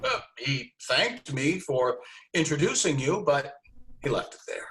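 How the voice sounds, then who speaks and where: A man acting a busy male Hollywood talent agent in a screenplay table read, brisk and offhand. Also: on the high side, big pitch swings, a bit bright. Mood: placating. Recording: noisy remote online recording with clicks.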